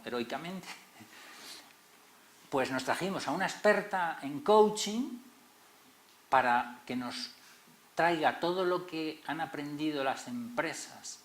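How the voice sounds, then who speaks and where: A middle-aged man with a Madrid Spanish accent giving an expressive public lecture, stressing key words as series moderator. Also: mid-range voice. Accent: Madrid Spanish, not very Canarian. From Tenerife, Canary Islands. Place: lecture hall. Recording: roomy echoey hall.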